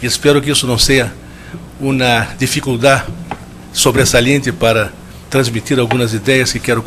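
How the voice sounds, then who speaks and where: A man from Brazil speaking with a strong Brazilian Portuguese accent, giving a measured, reflective conference talk and often restarting as he searches for words. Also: mid-range voice, a bit bright, a little hiss or hum. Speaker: guest lecturer. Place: lecture hall.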